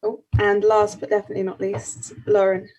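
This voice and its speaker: A woman's voice: medium pitch, completely flat delivery, fairly quick, mellow, low-quality recording. An eighteen-year-old woman from Kent moderates a panel over a video call, friendly and chatty, with frequent ums. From Kent, England. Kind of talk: casual panel introduction.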